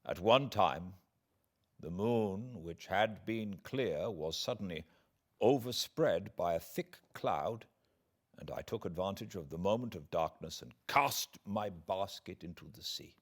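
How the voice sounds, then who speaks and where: A British man narrates a classic novel in a low voice. His pace is very slow and deliberate, with theatrical pauses and swells that give the literary prose dramatic weight. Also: animated. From Britain. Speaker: audiobook narrator. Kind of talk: dramatic literary audiobook reading.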